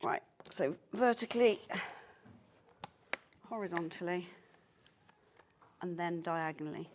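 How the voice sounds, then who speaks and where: A woman with a somewhat low voice walks viewers through a sewing technique on a live studio show. She explains each step practically and often mutters quietly to herself as she sews. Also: slow, noisy background. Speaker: sewing presenter.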